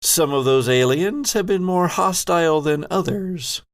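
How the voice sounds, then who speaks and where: A man working as game master, narrating a tabletop horror game in a theatrical, drawn-out storytelling manner with a gravelly voice. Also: fairly high pitch, animated.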